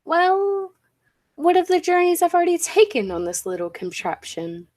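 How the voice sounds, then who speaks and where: A woman playing a confident, eccentric professor and inventor in a 1940s-style radio play, speaking in an assured, deliberate way. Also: very high voice, big pitch swings, darkish tone. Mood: brisk, commanding.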